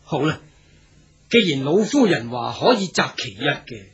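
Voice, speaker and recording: An actor playing a courteous, high-minded young gentleman wanderer in a period radio drama, speaking Hong Kong Cantonese with formal humility. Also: very animated, normal speed, breathy edge, dull audio, full character voice. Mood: hesitant, pondering. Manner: formal, humble, archaic courtesy.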